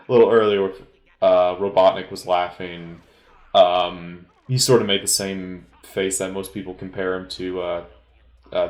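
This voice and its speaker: Man, low voice, roomy echo, hesitant rambling delivery, informal film commentary. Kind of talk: informal solo movie commentary.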